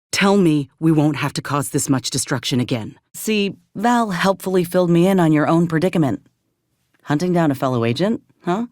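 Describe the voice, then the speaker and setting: Low-voiced, blunt frontier woman, acted for a game. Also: dry recording, studio quality, natural voice. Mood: subdued, pleading, then wry. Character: plainspoken, guarded frontier woman companion, loyal but wounded. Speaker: game character voice actor. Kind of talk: video game dialogue acting.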